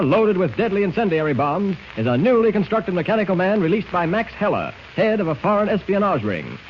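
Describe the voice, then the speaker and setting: High-pitched dramatic male radio narrator, noisy recording. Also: dull audio. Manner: dramatic, declamatory. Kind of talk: 1940s radio serial narration, scripted.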